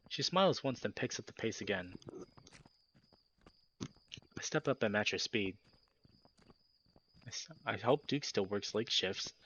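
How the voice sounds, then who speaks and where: A man hosting a let's play, reading a visual novel aloud in steady narration with animated, jokey asides. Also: medium pitch, slightly rough, dull audio.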